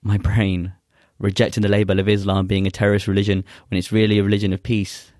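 Deep, flat-voiced young man. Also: dry recording, studio quality. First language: Urdu. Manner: earnest, halting with many fillers. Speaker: poet guest. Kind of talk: casual chat and spoken-word poetry recital.

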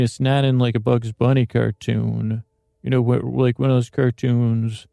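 A man's voice: medium pitch, vocal fry, warm tone, no echo. Male sleep-podcast host, meandering lulling monologue, dry close sound. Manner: slow, meandering, self-interrupting. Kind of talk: rambling bedtime monologue.

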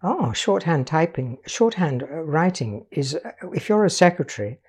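An older woman, raised in Portugal, telling her life story as an artist in a measured, patient interview manner. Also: low voice, very animated, a little mellow, breathy edge. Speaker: guest artist.

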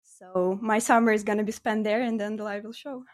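A woman with a Ukrainian accent giving conversational interview answers as a startup guest, often pausing mid-phrase. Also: mid-range voice, steady tone.

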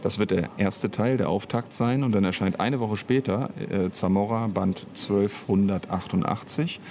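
Low-voiced man with background noise. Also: normal speed, a little mellow. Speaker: interviewed audio drama author and director. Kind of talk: casual interview talk.

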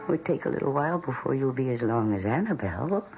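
An actress playing a sweet, doting young wife in an old screen drama, gushing and breathy, sometimes dropping to a whisper. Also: female voice, low-pitched, animated, normal speed, over loud music. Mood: cooing. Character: sweet, doting young wife who talks to her houseplants. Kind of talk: vintage screen drama acting. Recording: dull, noisy old film soundtrack.

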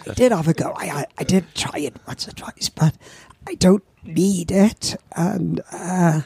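A man in his seventies with a Welsh accent and a croaky old voice, chatting as a podcast guest and telling lively stories that repeat words for effect. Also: high voice, unhurried, no echo. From Wales. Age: 70s. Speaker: guest, veteran surfer. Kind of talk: casual anecdotal chat.

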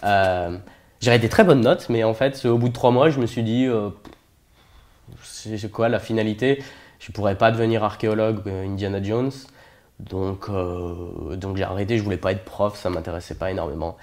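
A man's voice: on the low side, steady tone, moderate pace, a little mellow. Young Frenchman, jokey and self-deprecating. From France. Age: 20s. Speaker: interview guest. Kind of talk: casual conversational interview.

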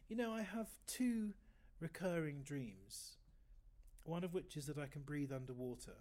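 Man in his fifties, fairly high voice, chatty, rambling, self-correcting. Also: expressive, moderate pace, dark tone. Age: 50s. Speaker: guest oboist. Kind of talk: casual interview chat.

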